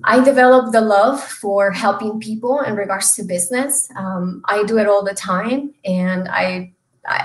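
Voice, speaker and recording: Earnest woman from Mexico on a video call. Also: medium pitch. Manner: earnest, with many fillers and restarts. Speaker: guest, small business loan expert. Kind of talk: casual interview chat. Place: home room. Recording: roomy video call audio.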